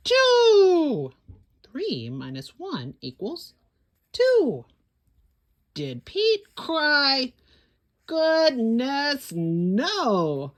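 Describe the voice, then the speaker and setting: Very animated woman reading to children, slow-paced. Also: high voice. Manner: theatrical, with gasps and exclamations; slow, deliberate phrasing for young children. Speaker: children's story time host. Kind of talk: animated read-aloud picture book storytelling with sung refrains.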